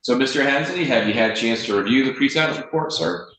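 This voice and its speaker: A man with an American accent presiding as a judge, speaking formally for the record in a deliberate, firm and measured way. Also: mid-range voice, fairly bright tone. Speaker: judge presiding over a court hearing. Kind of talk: formal courtroom speech on the record. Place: indoor courtroom. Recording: roomy echo, faint noise, courtroom audio.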